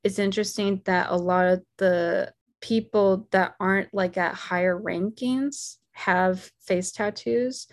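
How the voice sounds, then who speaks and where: A woman from the United States talks loosely through her thoughts, leaning on "like" and "you know". Her sentences stop and restart as she works out what she means. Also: medium pitch. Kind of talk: casual conversation.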